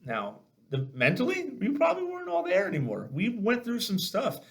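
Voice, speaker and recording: A man hosting a casual video chat, telling military stories in a blunt, salty, animated way and acting out the dialogue. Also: high voice, fairly quick. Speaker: podcast host and army veteran.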